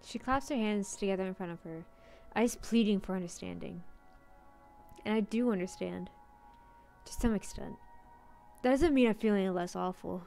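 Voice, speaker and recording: A woman's voice: mid-range voice, normal speed, creaky, pauses often, a little mellow. A woman streamer reading a visual novel's story aloud indoors, with casual asides. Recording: music behind the voice.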